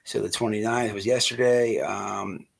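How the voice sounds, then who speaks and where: A man hosting an online show with off-the-cuff livestream commentary, halting as he repeats words and restarts. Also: lowish voice, fairly even intonation, vocal fry, husky.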